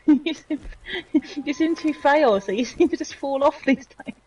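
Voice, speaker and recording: A woman's voice: high-pitched, warm tone, recorded from a distance, lo-fi sound. A woman joking with a friend while they play a game together online. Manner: teasing, playful, quick to laugh. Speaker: co-player. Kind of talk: casual gaming chat.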